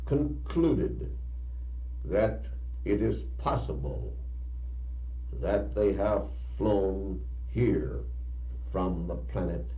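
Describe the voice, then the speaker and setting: Slow, oratorical man on old tape. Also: deep voice. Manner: measured, oratorical delivery. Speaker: lecturer. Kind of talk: formal prepared lecture. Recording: muffled echoey old reel-to-reel tape.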